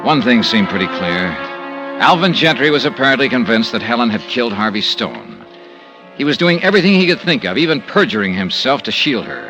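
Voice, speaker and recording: A man's voice: mid-range voice, huge pitch swings, brisk, lo-fi sound. An actor playing a wry, hard-boiled male insurance investigator who narrates and questions suspects in a 1950s radio drama. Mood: dry narration. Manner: wry, clipped detective narration. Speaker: lead narrator. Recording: old radio recording with music bed.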